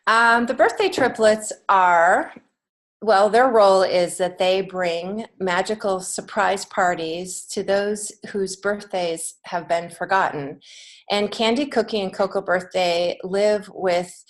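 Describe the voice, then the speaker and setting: A woman's voice: mid-range voice, takes it slowly. An American woman giving casual, encouraging answers as an author being interviewed on a podcast. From United States. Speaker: podcast guest, children's book author and creative writing teacher.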